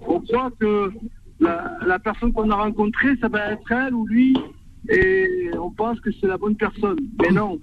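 A man's voice: very high-pitched, sing-song, measured pace, vocal fry. A man, calling in to a radio show from his car, hesitant and reflective with many fillers. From Provence, France. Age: 50s. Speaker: caller. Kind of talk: radio call-in confession. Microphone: phone. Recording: tinny phone line with faint noise.

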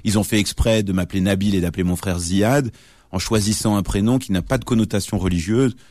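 A man's voice: on the low side, a little fast, a little mellow, no echo, studio quality. A man in his late thirties, a journalist and author being interviewed on radio, explaining things thoughtfully with many fillers and restarts. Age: late 30s.

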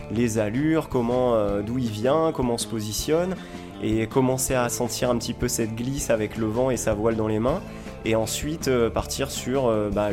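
A man from southern France giving an informal interview as a sports instructor, explaining with enthusiasm and frequent pauses. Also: mid-range voice. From Montpellier, southern France. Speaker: foil school owner and instructor, interview guest.

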